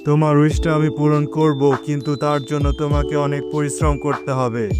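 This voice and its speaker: A man's voice: fairly high pitch, flat delivery, moderate pace, lightly acted. A man voicing a jolly, kindly old Santa Claus in a cartoon, encouraging and hearty. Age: older. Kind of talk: cartoon character acting. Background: music.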